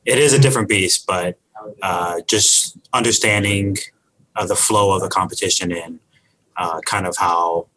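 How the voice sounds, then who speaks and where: A man on a student team giving a measured, confident interview answer with some uhs. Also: low voice, steady tone, bright, forceful tone, a little hiss or hum, rough recording.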